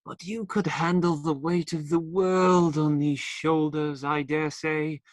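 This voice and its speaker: A man's voice: a little high, flat delivery, slow pace, dry recording. A man acting in a radio play as a cheeky, teasing outlaw given to playful, theatrical banter.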